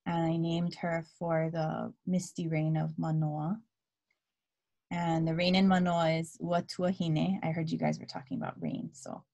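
A woman in her thirties from Hawaii, telling her story as a guest speaker on a video call. She talks in a relaxed way with many fillers and drops Hawaiian words into her English. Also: deep voice, measured pace, creaky, highs cut off. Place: dim room at home. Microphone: laptop webcam video call.

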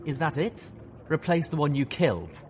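A man voicing a gruff, sardonic pegasus colonel in an audio drama, with an emphatic, challenging delivery. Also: on the high side, very animated, moderate pace, big-room sound, faint music behind, pitched above the performer's own voice. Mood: probing.

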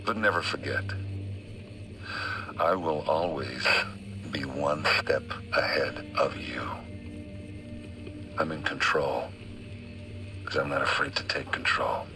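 Smug, very deep-voiced villain. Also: male voice, over music, full character voice. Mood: smug, menacing. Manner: taunting, smug, deliberate. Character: smug, calculating male rogue-agent villain. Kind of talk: dramatic video game villain acting.

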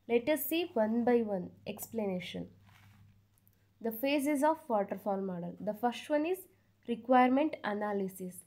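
A woman's voice: on the high side, a little mellow. A woman explaining study notes step by step as a teacher, repeating each point plainly, recorded on a phone over a notebook. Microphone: phone camera over a notebook.

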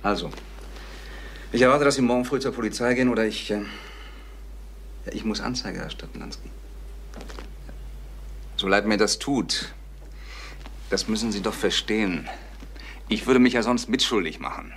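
An actor playing a stern, insistent businessman in a crime radio play, with a measured, pressing manner. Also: lowish voice, gravelly, a bit bright. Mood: stern, regretful. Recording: noisy old radio play recording.

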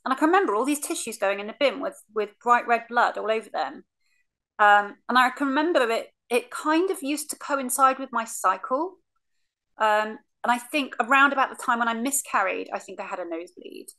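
A woman's voice: fairly high pitch, animated, a little fast, bright, forceful tone. A woman, frankly telling her cancer story on a video call from her living room, with many ums. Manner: frank, many ums, acts out remembered lines. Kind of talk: casual recounting, remote interview. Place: living room, seated on a sofa. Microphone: video call webcam.

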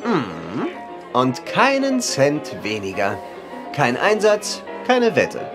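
An actor in a German audio drama playing a boastful, stubborn runner in a theatrical, exclamatory manner. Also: male voice, fairly high pitch, huge pitch swings, slow pace, music in the background, full character voice. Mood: defiant.

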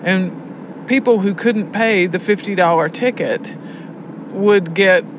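A woman talking casually in an interview, emphatic and reflective, making her points like a teacher. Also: slow pace. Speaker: head of a prison arts education program, poetry teacher. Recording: noisy location recording, background clatter and rumble.

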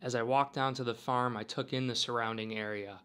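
Calm reflective man narrating a road trip. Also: medium pitch, brisk, smooth. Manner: calm, wistful, musing. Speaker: travel vlogger.

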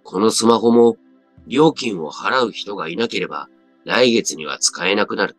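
A man's voice: lowish voice, normal speed, dry recording. A man reading a scripted first-person story as a dramatic narrator. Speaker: story reader. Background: background music.

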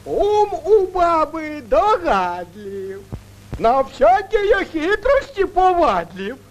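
A man's voice: very high voice, very animated, drawn-out words, slight background noise, exaggerated voice. A male actor playing a crafty, stingy old priest in a 1940s cartoon, declaiming rhymed verse theatrically on an old film soundtrack. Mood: pleased. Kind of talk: 1940s Soviet cartoon acting, rhymed fairy-tale verse.

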